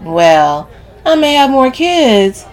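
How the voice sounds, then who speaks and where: A woman narrator voicing a brash, sharp-tongued, scornful woman in an audiobook story, with sassy and profane delivery. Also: fairly high pitch, expressive, moderate pace, soft background music, pitched above the performer's own voice, lightly acted. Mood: indignant.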